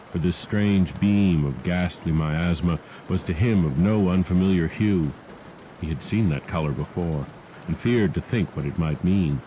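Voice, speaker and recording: A man reading a horror audiobook as a narrator, in a measured, solemn, literary delivery. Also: low voice, dark tone. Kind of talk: scripted horror story narration. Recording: muffled degraded cassette tape with rain noise. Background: rain and storm sounds.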